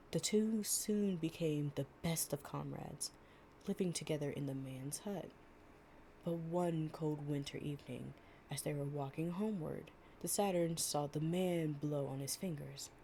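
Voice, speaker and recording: Low-voiced, unhurried woman telling bedtime stories. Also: dark tone, slight background noise. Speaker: audio roleplay performer reading bedtime fables. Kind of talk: gentle storytime reading with improvised casual chat.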